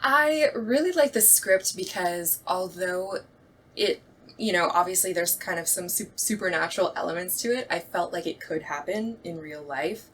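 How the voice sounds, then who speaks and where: A woman speaks in a lowish, bright and forceful voice. She is reflective and explanatory in a casual conversation, with faint ambient noise behind her. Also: animated, normal speed. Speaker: radio play director and actress. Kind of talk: casual chat.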